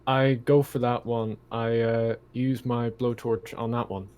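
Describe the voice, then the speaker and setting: A man chats as a player in a tabletop game. He talks slowly and tentatively at a medium pitch, feeling his way through each thought. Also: level tone, a little mellow, clear, resonant tone. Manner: hesitant, tentative. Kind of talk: casual game-table chat.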